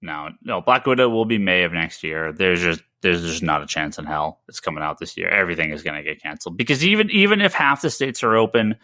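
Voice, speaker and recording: A man's voice: low voice, lively intonation, fast talker, few pauses, sharp tone, no echo, clean studio sound. A man hosting a casual comics news podcast, talking emphatically and often repeating words for emphasis. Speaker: podcast host.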